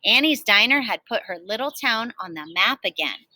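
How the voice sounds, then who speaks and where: A woman teacher reading a picture book aloud to children in an expressive storytelling style. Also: a little high, moderate pace, poor audio.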